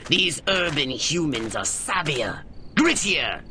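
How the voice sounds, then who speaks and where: Alien commander, scheming, lofty, lively pitch, faint noise. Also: male voice, slow pace. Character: scheming alien commander, male, older, brainy and bossy. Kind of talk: video game cutscene acting.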